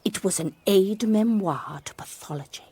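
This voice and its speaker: A dry sharp-eyed schoolteacher lady telling her story on a radio play, bit husky, very precise. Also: mid-range voice, no echo. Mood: wry, reflective. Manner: wry, precise, measured storytelling. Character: sharp-eyed, wry former schoolmistress narrating a mystery. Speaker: narrator and lead character. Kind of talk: first-person dramatised narration, radio drama.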